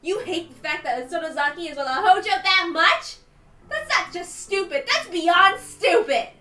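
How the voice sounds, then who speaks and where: Woman playing defiant teen girl yelling her heart out, high strained voice, echoey room w music. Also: animated, moderate pace, exaggerated voice. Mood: tearful rage. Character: furious, defiant lovestruck teenage girl, screaming back at her elders. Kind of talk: dramatic visual novel reading.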